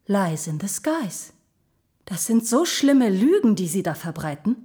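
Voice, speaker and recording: Vain, seductive talking carafe diva. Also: female voice, medium pitch, very animated, a little slow, mellow. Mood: aggrieved, theatrical. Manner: breathy purring, dramatic, condescending endearments. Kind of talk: scripted audio drama acting.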